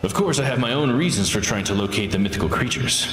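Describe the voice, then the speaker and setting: A man voicing a composed, dry-witted seeker of legends in a visual novel, with measured, formal manner. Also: fairly low pitch, lively intonation, noisy background, lightly acted. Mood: composed, reflective. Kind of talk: visual novel character read aloud. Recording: game music under the voice.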